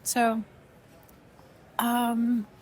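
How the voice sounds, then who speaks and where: A woman's voice: a little high, monotone, extremely slow, a little mellow. A young woman from Mexico whose first language is Spanish, co-hosting a podcast with meandering, reflective casual chat full of fillers and restarts, recorded at an airport. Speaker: podcast co-host. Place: airport waiting area.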